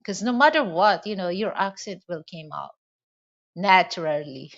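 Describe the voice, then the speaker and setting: Filipino-accented woman vlogger, lowish voice, rambling casual talk with fillers, lo-fi sound. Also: lively intonation, moderate pace, a bit bright. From Philippines. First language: Hiligaynon. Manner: rambling, many "you know" fillers. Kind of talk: casual monologue.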